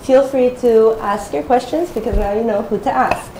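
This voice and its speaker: Female host for a live panel, slightly high voice, echoey recording with faint noise. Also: normal speed, dark tone. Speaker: moderator, MBA student and podcaster. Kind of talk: hosting a live-streamed panel discussion. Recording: roomy echo, faint background noise.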